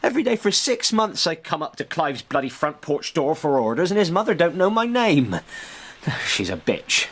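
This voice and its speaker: An actor playing a rough, proud young servant, speaking bluntly and colloquially in a radio drama. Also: male voice, fairly high pitch, big pitch swings, normal speed, fairly bright tone, heavily acted. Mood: bitter, defiant.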